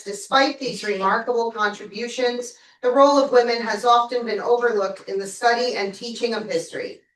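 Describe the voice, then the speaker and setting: A woman's voice: on the high side, a bit bright, a little hiss or hum. A woman reads a formal proclamation aloud in a council chamber, now and then tripping over a word. She is heard from a distance in a roomy, echoing hall, her mic switched off. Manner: stumbles and apologizes mid-reading. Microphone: mic not switched on, picked up from a distance. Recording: echoey room from far off.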